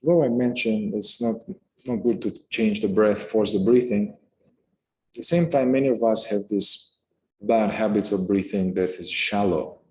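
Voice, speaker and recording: Male meditation guide, lower-pitched voice, calm and unhurried, unscripted instruction with space between phrases. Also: low-quality recording.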